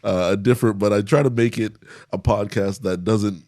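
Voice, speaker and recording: A man's voice: on the low side, steady tone, normal speed, a little mellow, no room sound, clean studio sound. A young man, talking casually as an interview guest and often chuckling as he speaks. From Canada. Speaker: stand-up comedian, interview guest.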